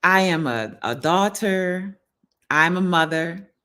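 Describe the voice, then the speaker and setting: A woman's voice: on the low side, lively intonation, slow pace, sharp tone. A middle-aged woman, chatting casually to her livestream viewers and often checking in with them as she hosts. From New Orleans, United States. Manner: conversational, addresses viewers directly, often seeks agreement. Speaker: wellness livestream host.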